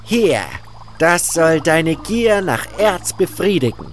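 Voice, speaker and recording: Man putting on a high-pitched, haughty cult guru. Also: lively intonation, slow pace, slight background noise. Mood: grudging, scornful. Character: haughty, grudging male cult guru and alchemist.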